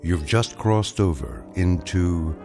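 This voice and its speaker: A man's voice: low voice, loud music behind the voice. A man giving dramatic, portentous scripted narration as the narrator of a radio series. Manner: slow, portentous.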